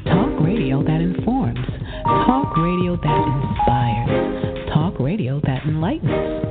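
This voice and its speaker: Slow, lilting woman announcing a station ident. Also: deep voice, big pitch swings. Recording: phone-line audio over loud music.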